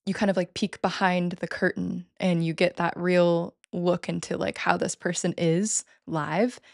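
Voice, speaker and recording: Low, gravelly woman with flat delivery. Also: no echo, clean studio sound. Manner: enthusiastic, many fillers and restarts. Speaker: podcast guest. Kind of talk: casual interview talk.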